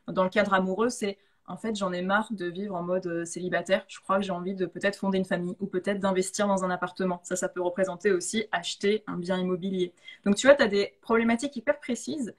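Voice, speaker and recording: A woman's voice: medium pitch, steady tone, fast talker. A woman in a casual live chat, explaining tarot readings in an informal, enthusiastic way. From of Italian descent. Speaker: guest tarot reader and author.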